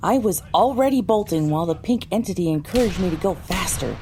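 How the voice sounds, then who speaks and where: A woman's voice: fairly low pitch, animated, fairly quick, music in the background. A woman delivering scripted, first-person narration for an audio drama.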